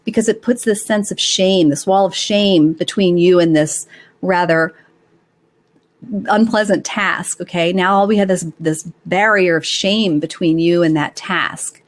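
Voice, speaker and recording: A woman's voice: lowish voice, a little slow. A woman hosting a live advice show, talking conversationally in an encouraging, explanatory way to her audience. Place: live online call-in broadcast.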